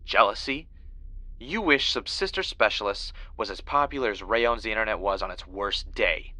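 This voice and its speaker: An actor playing a defensive, easily riled podcaster and former reality show winner, insistent and argumentative in a natural conversational audio drama style. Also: male voice, fairly low pitch, moderate pace, sharp tone, no room sound. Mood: accusing, angry.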